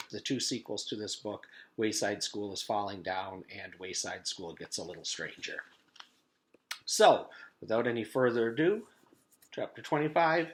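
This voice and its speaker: A man's voice: fairly low pitch, slight background noise. A man reading a children's storybook aloud as a teacher would, with informal introductory remarks.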